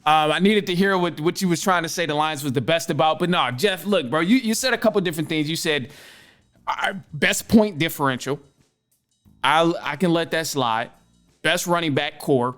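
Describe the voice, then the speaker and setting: A man's voice: on the high side, moderate pace, a bit bright, faint music behind. A man hosting a sports podcast, giving casual, opinionated commentary in slangy, emphatic language with repeated phrases.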